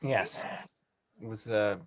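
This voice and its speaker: A man hosting an online talk-back and making announcements in a halting way, often repeating himself. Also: on the low side, steady tone. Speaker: host.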